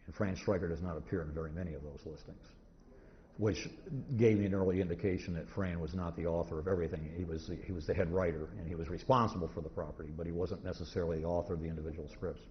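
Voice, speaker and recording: A low-pitched man presents an informal history lecture in a live room. He rambles and stops and starts, restarting his sentences. The recording is slightly noisy and dull, with the top end missing. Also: fairly even intonation, fast. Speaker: convention presenter, radio historian. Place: indoor convention talk room.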